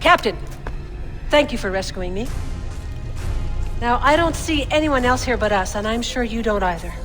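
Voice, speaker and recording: An actress playing a composed, authoritative head of state, firm and formal, in a dramatic video game. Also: female voice, fairly high pitch, lively intonation, normal speed, lightly acted. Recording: loud music behind.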